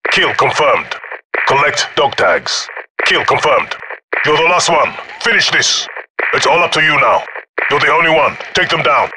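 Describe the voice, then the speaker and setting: Ruthless African warlord, shooter game announcer, fairly high voice, terse forceful orders, clear noise behind. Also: male voice, animated, unhurried. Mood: stern, commanding. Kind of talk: scripted video game announcer lines.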